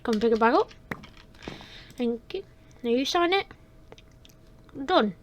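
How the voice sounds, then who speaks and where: A chirpy boss handing out instructions to a new worker, played by a woman in a high, bouncy voice that swings up and down. He talks slowly and kindly. Nothing about him sounds stern. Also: animated, slight background noise. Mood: friendly. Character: friendly male workplace boss hiring a guard.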